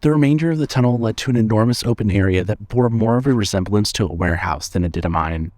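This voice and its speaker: Low-pitched male narrator, dry sound. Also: fast, darkish tone, no room sound. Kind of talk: scripted story narration.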